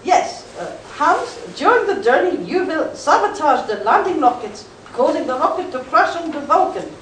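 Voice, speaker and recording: A woman's voice: high voice, big pitch swings, normal speed, fairly bright tone. A woman playing a stern, fanatical German plot leader, emphatic, in a live comic radio play. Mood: commanding. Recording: echoey room, distant mic, faint noise.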